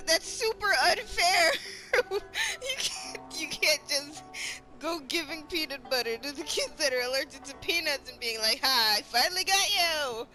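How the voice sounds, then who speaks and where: A woman streamer giving casual, expressive, joking reaction commentary while playing a video game. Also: high-pitched, a little slow, rough recording. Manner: expressive, joking, mock-indignant. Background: game music.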